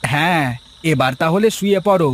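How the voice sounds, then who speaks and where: A man's voice: a little high, moderate pace, a little hiss or hum, lightly acted. A man voicing a poor, down-to-earth farmer husband in a cartoon, teasing and lecturing in a folksy way. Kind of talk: cartoon dialogue acting.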